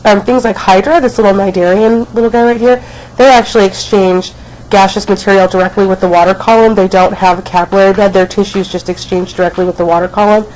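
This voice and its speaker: A woman's voice: mid-range voice, steady tone, quick pace, darkish tone, rough recording. Snuffly woman teacher with a cold. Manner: many ums, chatty teacher tone. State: has a cold, snuffly and coughing. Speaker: biology teacher. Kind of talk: recorded lecture, casual. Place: at home, not at school.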